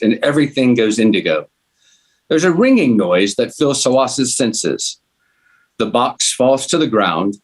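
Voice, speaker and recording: A man's voice: mid-range voice, poor audio. A man reading a script's narration and stage directions aloud at a table read.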